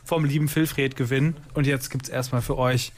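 A man's voice: mid-range voice, steady tone, normal speed. A man hosting a radio show in a casual, rambling and playfully ironic way. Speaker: radio host. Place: radio studio.

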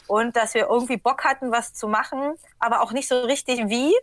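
A woman's voice: fairly high pitch, steady tone, brisk, no echo. A woman chatting casually in an interview, with a slangy, blunt, informal manner. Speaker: band member being interviewed. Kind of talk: casual chat.

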